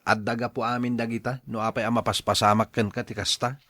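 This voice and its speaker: A man's voice: medium pitch, flat delivery, fairly quick, bright, forceful tone, dry recording, clean studio sound. A man with an Ilocano accent acting a blunt, scolding male friend in a radio drama, emphatic and exhorting.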